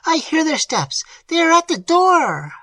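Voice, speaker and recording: A reader voicing Madame Montoni, an imperious, anxious older noblewoman with a sharp, shrill manner. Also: wildly swooping pitch, a little slow, no echo, full character voice. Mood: alarmed.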